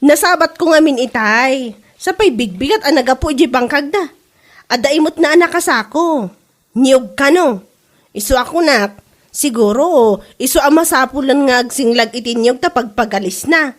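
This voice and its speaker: A woman acting a nosy, teasing village neighbour in a comedy drama, speaking in an animated gossipy way with an Ilocano accent. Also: sits high, normal speed. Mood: amused, gossipy.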